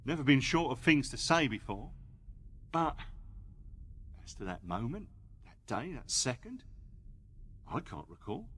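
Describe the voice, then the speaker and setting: A man's voice: medium pitch, sing-song, a little slow, long pauses, a bit bright, slight background noise. An actor playing a chatty, indignant working man in a radio play, talking colloquially and firing off questions. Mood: defensive. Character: chatty, indignant working-class male employee recalling events. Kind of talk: radio play monologue acting.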